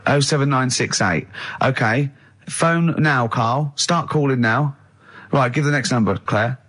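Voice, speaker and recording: A man's voice: mid-range voice, unhurried, fairly bright tone, breathy edge. A man hosting a radio show, chatting casually and teasingly, often repeating himself.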